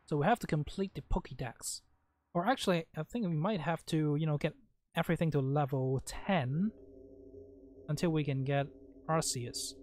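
A man's voice: fairly high pitch, moderate pace, darkish tone, slight background noise. A man giving casual, rambling and blunt commentary as he hosts a gameplay video. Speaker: gaming video host playing and commenting.

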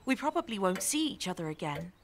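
A woman's voice: mid-range voice, big pitch swings, quick pace, noisy background, close to an everyday voice. An actress playing a shy, lovestruck young woman in an audio drama, earnest and hesitant.

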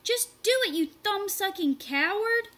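A woman voicing a brave boy shouting orders. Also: sits high, expressive, moderate pace, voice pushed up. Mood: urgent. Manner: urgent, commanding shouts. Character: determined boy shouting to rescue friends.

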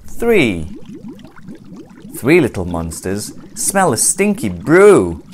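A storybook reader giving an expressive, theatrical read-aloud for children, deliberate and full of suspense. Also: medium pitch, slight background noise. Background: music and sound effects.

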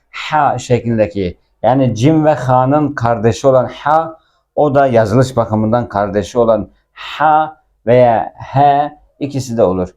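A man's voice: mid-range voice, warm tone. A man giving a casual language lesson, explaining film dialogue and repeating words with their translations. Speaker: Arabic teacher.

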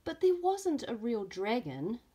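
A woman voicing a firm, dismissive mother in a storybook, giving clear instructions. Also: fairly high pitch, very animated, measured pace, warm tone, voice pushed up, exaggerated voice. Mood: protesting.

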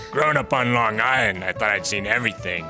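A man's voice: lowish voice, brisk, over music, natural voice. A man playing a jovial, casual, bawdy husband from Long Island in a comedy audio drama.

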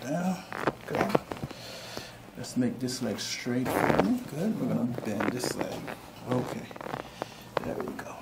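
A man's voice: mid-range voice, big pitch swings, slow pace, long pauses, heavy background noise. A man working as a chiropractor, giving casual, reassuring hands-on instructions in short repeated prompts. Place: small indoor chiropractic treatment room.

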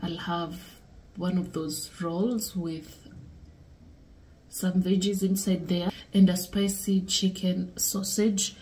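Young woman, lifestyle vlogger, unhurried relaxed chat, faint background hiss. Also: fairly low pitch, rough recording. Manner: relaxed, chatty, talks to viewers as "guys". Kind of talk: casual vlog chat. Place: home kitchen and dressing room, indoors.

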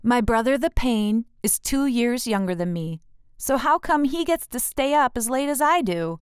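Woman reading as an indignant, bossy big sister, clear-voiced. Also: a little high, expressive, moderate pace, no room sound, clean studio sound, close to an everyday voice. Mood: aggrieved, complaining. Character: indignant eight-year-old girl, jealous bossy big sister.

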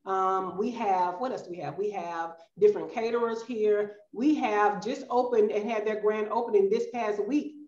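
A woman's voice: medium pitch, moderate pace, few pauses, darkish tone. Southern woman guest, middle-aged, chatty, echoey home-room call sound. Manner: chatty, addresses listeners as y'all. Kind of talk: casual interview chat. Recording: roomy, slightly dull video-call audio.

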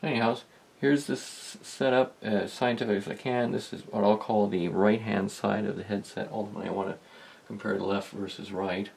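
A man giving a casual hands-on demonstration, talking in a halting, rambling, self-deprecating way. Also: on the low side, fairly even intonation, creaky, a little mellow. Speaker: host of a vintage electronics video. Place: indoor electronics workbench.

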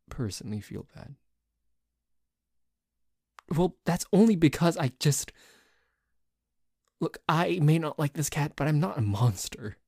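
A man playing a dorky, grumpy, possessive but secretly tender boyfriend in an ASMR roleplay, grumbling haltingly with sighs. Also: medium pitch, mellow, husky, no echo, studio quality.

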